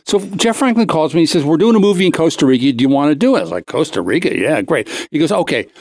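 Guy host telling a funny rambling story, kinda high voice, really up and down, close dry sound. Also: very animated, fast talker, a little mellow, no room sound. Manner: rambling, self-interrupting, acting out dialogue. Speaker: show host. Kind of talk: casual comic anecdote storytelling.

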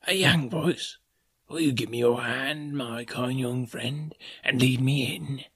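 A man voicing a sinister blind old beggar in an audiobook, with a soft, cold, sing-song whine. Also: medium pitch, takes it slowly, husky, dry recording, studio quality, heavily acted. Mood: wheedling. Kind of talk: audiobook character voice.

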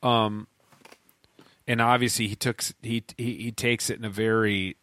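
A man's voice: lowish voice, flat delivery, moderate pace, fairly bright tone, no echo. A man in his forties chatting in a podcast conversation, rambling with frequent restarts and fillers. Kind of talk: casual conversation.

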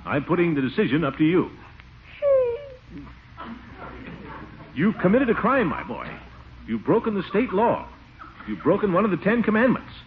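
An actor playing a blustery, exasperated middle-aged uncle in a 1940s radio comedy, pompous and grumbling. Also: male voice, high voice, big pitch swings, fairly quick. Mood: stern, blustering. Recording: noisy, tinny old radio recording.

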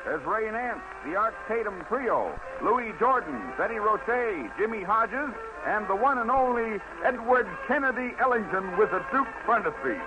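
High-pitched American 1940s radio announcer man. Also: animated, normal speed. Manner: showy, hep-talking patter. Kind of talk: 1940s radio variety show announcing in jive slang. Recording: vintage radio broadcast, muffled and thin. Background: music.

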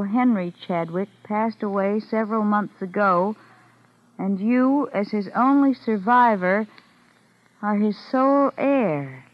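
A woman's voice: mid-range voice, natural voice. An actress playing a wary, calculating young woman in an old-time radio mystery. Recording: muffled vintage radio broadcast.

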